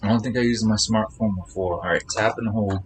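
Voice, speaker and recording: A man's voice: on the low side, steady tone, a little fast, a little hiss or hum. A man chatting casually on a live game stream, rambling to himself as he eats. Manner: rambling, talking to himself while eating.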